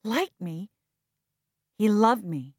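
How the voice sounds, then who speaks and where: A woman's voice: medium pitch, animated, drawn-out words, a little mellow, husky, no room sound, clean studio sound, voice pushed up, exaggerated voice. Emphatic, loyal grieving woman voiced by a female narrator. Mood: defensive, impassioned. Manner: emphatic, reproachful. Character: earnest, loyal, strong-willed woman in mourning.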